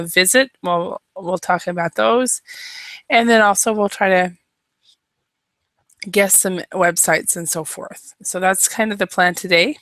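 Young american lady teaching english online, friendly and chatty, lots of ums, bright voice. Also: on the low side, no room sound. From Idaho, USA. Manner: chatty, friendly, with many um and uh fillers. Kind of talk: casual online language lesson. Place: small room at home. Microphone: headset mic.

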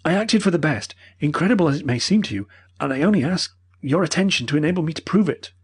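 A man reading an old male professor who speaks in a breathless, earnest, pleading way, with a husky voice. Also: mid-range voice, brisk.

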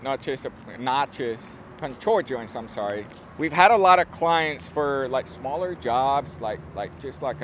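A man in his thirties talks through a construction site like a company guide showing clients around. His pitch is average for a man. He explains informally and off the cuff, repeating and rewording as he goes. Outdoor noise is audible around his voice. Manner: informal, repeats and rephrases himself. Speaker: construction company presenter giving a site tour. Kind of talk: unscripted explanatory walkthrough. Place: outdoors on an open steel-frame construction site.

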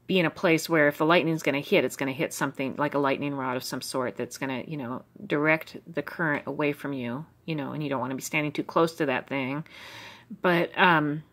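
A middle-aged woman from the United States talks through her old journal pages in a rambling, musing way, full of fillers and asides. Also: low voice. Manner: musing, stream of consciousness, many fillers. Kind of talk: rambling personal commentary.

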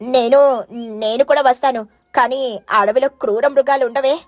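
A woman's voice: high-pitched, steady tone, a little slow, no echo. Woman as a timid, hesitant mother pigeon. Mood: fearful. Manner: fearful, hesitant. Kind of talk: animated children's moral story.

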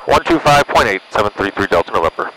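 A man's voice: fairly low pitch, very animated, quick pace, pushed and bright, low-quality recording. An American man piloting a small plane, chatting casually and explaining as he goes between radio calls over a headset. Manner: explains things as he goes, checking with his listener. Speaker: pilot and vlogger. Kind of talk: casual cockpit chat mixed with radio calls. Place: small propeller plane cockpit in flight. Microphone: aviation headset. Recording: cockpit headset intercom, engine hum.

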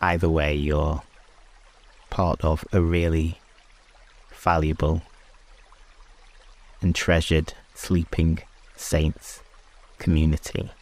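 Male meditation host, exceptionally deep voice, very slow and unhurried delivery, hushed phrasing with long pauses. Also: little pitch movement. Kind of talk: slow guided sleep meditation and prayer.

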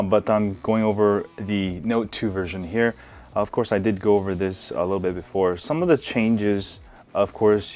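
Low male voice, relaxed hands-on tech reviewer, lots of fillers, faint music underneath. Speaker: tech video host. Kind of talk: casual hands-on phone review. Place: indoors at a desk.

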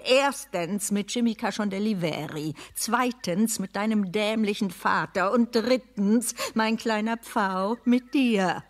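A woman plays a scornful, possessive mother in a radio drama. Her voice sits at a medium pitch, and she places each biting word with deliberate, bitter weight. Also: lightly acted. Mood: cutting, bitter. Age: middle-aged. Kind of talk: German radio drama acting.

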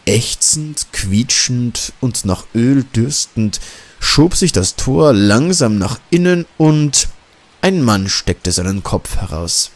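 A man's voice: mid-range voice, lively intonation, takes it slowly. Male audiobook narrator, mid-range voice, scripted horror storytelling.

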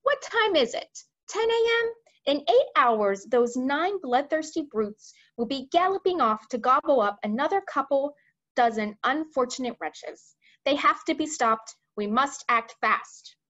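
A woman reads as a storybook queen, brisk, firm and used to command. Her voice is fairly high with wide swings of pitch, on a slightly dull recording. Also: lively intonation, a bit bright. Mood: urgent command.

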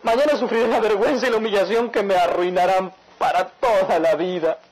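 An actor playing a desperate, ashamed young son in a radionovela, pleading in anguish. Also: male voice, sits high, steady tone, a little fast, slight background noise, natural voice, low-quality recording. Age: young adult. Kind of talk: radionovela melodrama acting. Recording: radio drama over background music.

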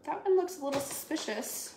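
A woman's voice: sits high, lively intonation, fairly quick, a little mellow. A young woman, chatting to viewers on a live grocery haul from her home kitchen, rambling and giggly. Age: young adult. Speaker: livestream host. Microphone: phone camera at arm's length. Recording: echoey kitchen with faint noise.

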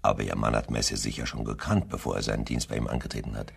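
A male police investigator in a crime radio play. His voice is extremely low, creaky and rough. He questions people formally and deliberately, always probing. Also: little pitch movement, heavily acted. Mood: probing, tactful. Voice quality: gravelly.

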